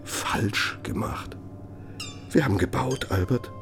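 Deep, gravelly, pompous older German man. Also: faint music behind. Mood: reproachful, sardonic. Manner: pompous, deliberate, rhetorical, exacting. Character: self-important ageing German architect dictating a bitter, nostalgic letter. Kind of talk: radio play monologue, dictated letter.

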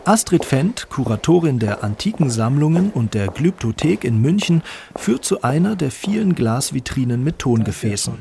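A man's voice: mid-range voice, sing-song, moderate pace, gravelly, warm tone, a little hiss or hum. A man reading scripted narration as the narrator of a radio documentary.

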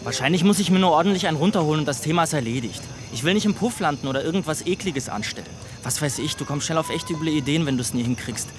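A man's voice: a little high, fast talker, hardly any pauses, noisy background, lightly acted. A man playing a crude, frustrated, self-loathing teenage boy who rambles in whiny slang in a radio drama. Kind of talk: colloquial radio drama dialogue.

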